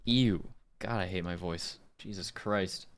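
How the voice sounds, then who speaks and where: A man's voice: deep voice, unhurried, a little hiss or hum. A man chatting casually on a livestream, muttering and thinking aloud as he works on audio. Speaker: livestreamer and amateur voice actor. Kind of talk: casual livestream chat while fiddling with audio software.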